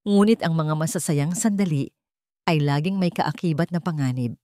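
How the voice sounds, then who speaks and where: A woman narrating a scripted audio drama in a measured, suspenseful storytelling manner. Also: sits low, mellow, no echo, clean studio sound.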